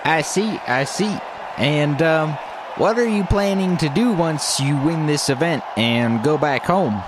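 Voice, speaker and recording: A man playing an excitable, rambling TV field reporter in a comedy radio sketch, shouting his live report. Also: a little high, unhurried, crowd noise, background noise. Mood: excited. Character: excitable male TV field reporter at absurd Olympics. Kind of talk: parody live sports reporting.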